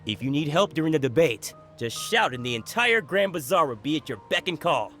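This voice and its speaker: A man's voice: a little high, very animated, fairly quick, fairly bright tone, soft background music. A man playing a supportive, reassuring market townsman in a video game, speaking emphatically.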